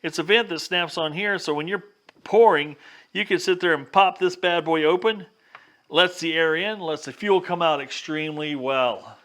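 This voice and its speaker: Higher-pitched man, folksy how-to host. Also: moderate pace, a bit bright. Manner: plainspoken and folksy. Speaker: vlog host giving a DIY demonstration. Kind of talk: casual hands-on how-to talk.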